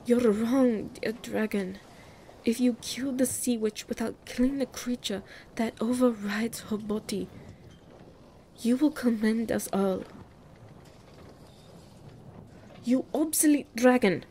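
A woman's voice: a little high, unhurried, warm tone, slight background noise. A woman playing a grave, mystical female ruler in an audio roleplay, speaking formally and breathily as she pleads for her people. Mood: indignant.

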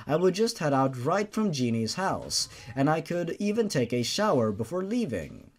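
A man reading a horror fan fiction story aloud with theatrical, exaggerated emphasis. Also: a little high. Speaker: narrator. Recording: music behind the voice. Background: music.

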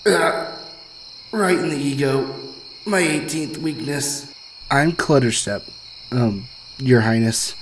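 A man voicing a sardonic, self-deprecating pony stallion on the run in an animated comic dub, with wry, theatrical asides. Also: mid-range voice, takes it slowly, long pauses, slight background noise, natural voice, poor audio. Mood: wry, sheepish.

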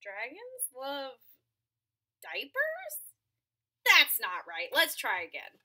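Casting: young adult female children's storyteller, slow-paced with big swoops in pitch, expressive and playful. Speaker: storyteller reading a picture book aloud. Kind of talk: animated children's read-aloud. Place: small furnished room at home.

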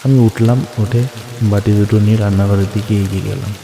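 A man with a fairly low voice tells a horror story in the first person. His delivery is colloquial and dramatic, echoing heavily over clearly audible music and rain. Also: steady tone, a little fast, mellow. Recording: echoey long reverb, music and rain behind.

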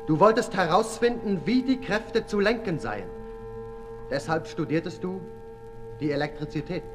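Solemn high-voiced orator, long reverb. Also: male voice. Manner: solemn, rhetorical, measured declamation. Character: grave male orator defending a misunderstood revolutionary thinker. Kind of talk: 1960s German radio play acting. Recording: reverberant 1960s radio recording with music.

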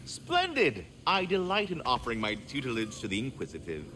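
A man's voice: medium pitch, wildly swooping pitch, fairly quick, bright, forceful tone, a little hiss or hum. A man voicing a chatty, courtly talking squirrel in a video game, fussy and affable with pompous, theatrical enunciation. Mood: cheerful, instructive. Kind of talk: video game character acting.

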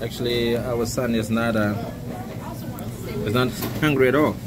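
A man presents a casual vlog in a mid-pitched voice, pausing as he goes. Clear restaurant chatter surrounds him on the handheld recording. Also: fairly even intonation. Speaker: family vlog host. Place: indoor restaurant booth. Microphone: handheld camera or phone.

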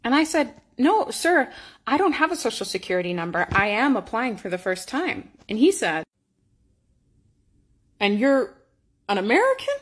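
A woman's voice: fairly high pitch, sing-song, normal speed, long pauses, husky. A young woman from Canada tells an incredulous storytime into a phone at arm's length. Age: young adult. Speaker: TikTok storyteller. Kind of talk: storytime to camera.

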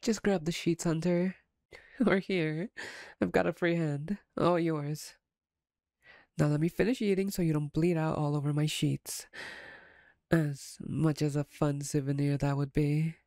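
A woman's voice: low-pitched, steady tone, unhurried, mellow, no echo, studio quality. A woman playing a playful, domineering female vampire captor in an intimate ASMR roleplay, teasing and sardonic. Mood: exasperated, teasing.

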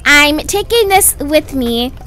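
Woman playing a high-pitched, fussy doting mom. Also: animated, slow, a bit bright, soft background music, exaggerated voice. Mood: stern. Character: doting, fussy young mother of twins. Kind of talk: animated doll-game roleplay voice acting.

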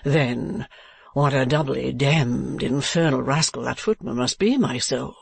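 A foppish, affected gentleman of a classic storybook, acted out by a narrator. He drawls and stretches his words with melodramatic, poetical flourish. The sound is dry and close. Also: mid-range voice, unhurried, creaky, husky, no room sound, full character voice. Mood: languid, lovesick. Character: foppish, affected, drawling adult male dandy husband. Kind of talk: classic novel audiobook character.

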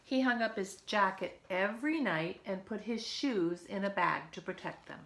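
A woman's voice: medium pitch, lively intonation, normal speed, gravelly, a bit bright. A woman in her fifties reads a picture book aloud to young children, expressively, with chatty asides, recorded at home. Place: living room at home, by a window.

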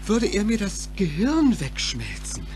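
A man's voice: high-pitched, wildly swooping pitch, measured pace, a little mellow, slight background noise, exaggerated voice. An actor playing a timid, awkward teenage boy with a hesitant, stammering delivery in an audio drama. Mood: nervous. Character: timid, awkward, nervous teenage male nerd.

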